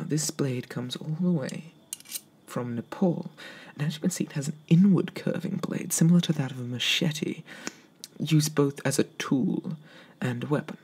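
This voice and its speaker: A man speaking softly in a breathy whisper close to the microphone, slow and courteous, roleplaying an eager weapons merchant for ASMR. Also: fairly high pitch. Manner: slow, hesitant, courteous sales patter. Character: polite, eager male weapons merchant obsessed with swords.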